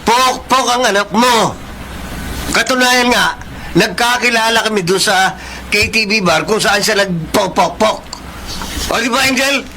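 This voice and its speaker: A man's voice: high-pitched, unhurried, bright, forceful tone, slight background noise, exaggerated voice, rough recording. An actor playing a cruel, taunting young Filipino live-in boyfriend in a radio drama, mocking as he talks. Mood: taunting, contemptuous.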